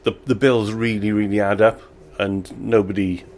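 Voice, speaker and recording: Deep-voiced brewer, laughing easily. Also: male voice, moderate pace, slight background noise. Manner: relaxed, self-deprecating, laughs often. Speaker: brewery owner. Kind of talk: casual interview chat. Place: small home brewery.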